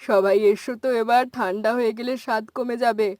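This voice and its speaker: A woman's voice: a little high, steady tone, normal speed, a little mellow, lightly acted. A woman voicing a kindly, encouraging old village grandmother in a cartoon story. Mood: content, affectionate. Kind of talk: cartoon story dialogue in Bengali.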